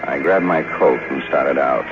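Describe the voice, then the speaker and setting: A man's voice: very deep voice, unhurried, over music. An actor playing a cynical, world-weary screenwriter in a 1950s radio drama, sardonic and blunt, narrating his own downfall. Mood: wry, weary narration. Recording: muffled, noisy 1951 radio broadcast.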